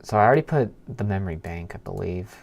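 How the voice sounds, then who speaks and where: A man live-streaming as a programmer, thinking aloud in a halting mutter as he works, half talking to himself. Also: low-pitched, expressive, vocal fry, darkish tone. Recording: keyboard clicks and background noise. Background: computer keyboard typing and clicking.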